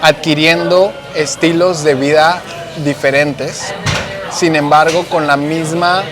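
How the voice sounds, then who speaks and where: Animated Mexican man, slangy chat over music. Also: on the high side, takes it slowly. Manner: animated, slangy, searches for words with many fillers. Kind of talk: casual conversational chat.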